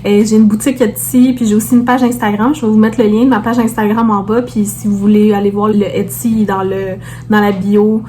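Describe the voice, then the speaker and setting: A woman's voice: medium pitch, little pitch movement, fairly quick, dark tone, a little hiss or hum. A woman with a Québécois French accent chatting casually to viewers as a vlogger, informally peppering her talk with English words.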